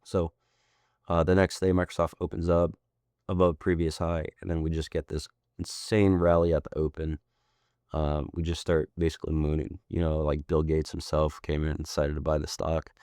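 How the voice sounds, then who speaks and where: Very deep-voiced man, hoarse from illness. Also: flat delivery, moderate pace, darkish tone, dry recording, studio quality. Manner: loose, rambling, frequent tag questions. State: hoarse, sick and losing his voice. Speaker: trading mentor. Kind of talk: casual chart walkthrough.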